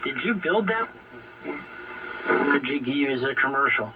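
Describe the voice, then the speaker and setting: A man's voice: mid-range voice, expressive, unhurried. A man chatting casually and informally in slang as an amateur radio operator over an AM shortwave link. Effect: AM shortwave radio transmission. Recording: phone-like, distant, noisy AM radio signal.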